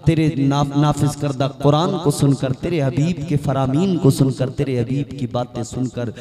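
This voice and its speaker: A man's voice: medium pitch, moderate pace, crowd noise. A man preaching and praying at a religious gathering in an emphatic, rhetorical, repetitive way. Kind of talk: impassioned sermon and supplication at a religious gathering. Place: large religious gathering at night.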